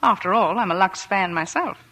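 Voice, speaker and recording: A young actress speaking as a guest in a scripted 1940s radio interview. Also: female voice, medium pitch, expressive, brisk, fairly bright tone, rough recording. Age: young adult.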